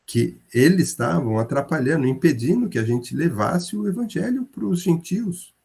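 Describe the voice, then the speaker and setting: A man leading a group Bible study over a video call, explaining slowly and lifting his voice when he acts out quotes. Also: fairly high pitch, sing-song, creaky, a little mellow, breathy edge.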